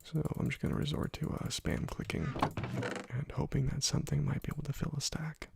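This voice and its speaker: A young man softly whispering slow, relaxed commentary while playing a video game, close to a desk microphone. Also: fairly high pitch, creaky, a little hiss or hum. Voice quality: soft whispering, often unvoiced. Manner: slow, relaxed rambling between quiet pauses. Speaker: let's play host. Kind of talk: ASMR gameplay commentary. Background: faint keyboard and mouse clicks.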